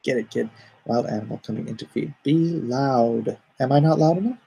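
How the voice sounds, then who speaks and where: A middle-aged man streaming live from home, reading chat aloud and answering it in an easygoing, even-tempered way. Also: medium pitch, moderate pace, gravelly, husky, poor audio. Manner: calls himself soothing and even-tempered; reads chat comments aloud and answers them.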